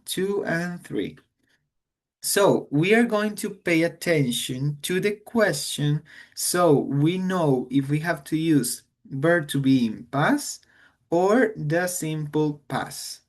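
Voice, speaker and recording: Slow-paced male teacher. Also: on the high side. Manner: slow, explains in English then repeats in Spanish. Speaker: English teacher. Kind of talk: online grammar lesson.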